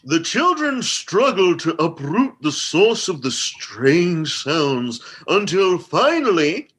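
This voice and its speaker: A man playing a pompous gentleman host, narrating comedy radio theatre in a florid, mock-grand, theatrical manner. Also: a little high, big pitch swings, slow, husky, exaggerated voice. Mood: storytelling. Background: plucked guitar music.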